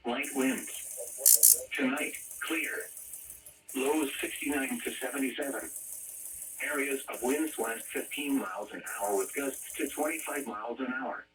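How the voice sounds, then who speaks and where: A man's voice: mid-range voice, unhurried, fairly bright tone, recorded from a distance, rough recording. A man reading a scripted weather bulletin in a formal, automated-sounding way over a weather radio broadcast. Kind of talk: scripted weather forecast and test announcement.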